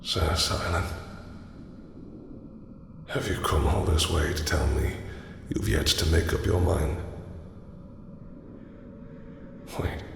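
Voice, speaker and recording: A man's voice: a bass voice, creaky, exaggerated voice. A man playing an immortal god of death in a fantasy audio roleplay, brooding, imperious and yearning, speaking in a solemn, grandiose way. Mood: suspicious, commanding. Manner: grandiose, solemn, slow deliberate speech. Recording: cavernous echo, long reverb, faint noise.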